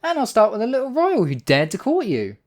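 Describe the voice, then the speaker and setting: A man playing a demon king in an intimate ASMR roleplay, husky and theatrical, with deliberate phrasing and lingering pauses. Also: high-pitched, huge pitch swings. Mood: menacing, possessive. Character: demon king, menacing captor act turning tender, devoted lover.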